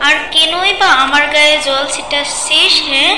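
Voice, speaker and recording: A woman's voice: high-pitched, normal speed, natural voice. A woman voicing the vengeful ghost of a murdered mother in a dramatic, menacing cartoon folk-tale style. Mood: angry, menacing. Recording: echoey, music underneath.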